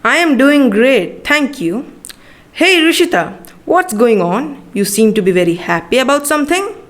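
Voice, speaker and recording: A woman's voice: sits high, very animated, normal speed. A young woman with an Indian accent playing a polite, doubtful schoolboy friend in a school skit, speaking hesitantly. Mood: friendly, curious. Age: teenager. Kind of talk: rehearsed school skit dialogue.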